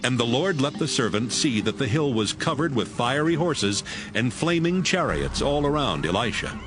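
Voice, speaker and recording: A man's voice: medium pitch, sing-song, moderate pace. A man narrating a dramatized Bible audiobook from a script. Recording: music behind the voice.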